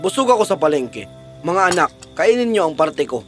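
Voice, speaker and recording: A loving, weary cartoon father, voiced by a narrator, earnest and tender. The intonation moves widely, with clear music behind it. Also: mid-range voice, very animated, normal speed, natural voice. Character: loving, weary woodcutter father, adult man. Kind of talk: fairy tale cartoon dialogue.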